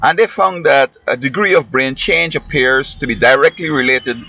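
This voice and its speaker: A man's voice: medium pitch, moderate pace, vocal fry, sharp tone, no echo. A man from Guyana with a Guyanese accent, a schoolteacher giving rambling, preachy commentary that often trails off mid-sentence. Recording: muffled lo-fi with faint hiss.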